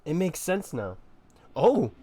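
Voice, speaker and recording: A man's voice: fairly high pitch, very animated, slow, warm tone, slightly rough. A man giving casual, slangy, exclamatory commentary as he plays a video game. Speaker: gamer reacting while playing.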